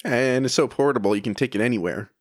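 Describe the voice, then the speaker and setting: A man's voice: medium pitch, fast talker, dry recording, studio quality. A man hosting an interview show, putting questions to a guest in a conversational style.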